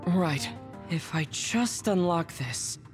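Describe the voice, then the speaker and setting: A composed young soldier reasons things out in a lowish voice. It is anime game acting, serious and analytical, with music clearly behind it. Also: female voice, lively intonation, takes it slowly.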